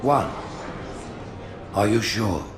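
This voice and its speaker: A man playing a solemn, imperious alien religious leader in a game cutscene, stately, deliberate and pompous. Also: on the low side, expressive. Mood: stern, measured. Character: solemn, imperious male alien high prophet. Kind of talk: dramatic video game cutscene acting.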